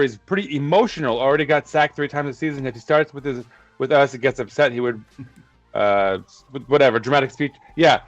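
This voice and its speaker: Man, podcast co-host, slightly high voice, muffled lo-fi audio, casual joking talk. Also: dry recording. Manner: conversational, joking, argues his points. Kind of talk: casual football podcast chat.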